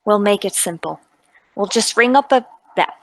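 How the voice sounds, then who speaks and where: A woman playing a brisk, authoritative, businesslike Scotland Yard police inspector in a mystery radio play table read. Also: medium pitch, expressive, no room sound, natural voice.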